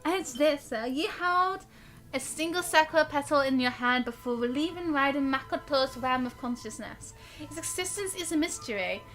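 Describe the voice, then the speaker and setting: High, bright-voiced woman streaming a game. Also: normal speed. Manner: chatty, expressive, reactive. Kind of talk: live gameplay commentary, reading game text aloud. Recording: game music behind the voice. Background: game music.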